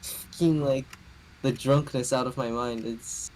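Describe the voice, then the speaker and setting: A man's voice: mid-range voice, fairly even intonation, unhurried, a little mellow, slight background noise. A young man co-hosting a casual podcast, telling a story in a hesitant, filler-heavy way with many restarts. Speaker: podcast co-host. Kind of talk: casual chat.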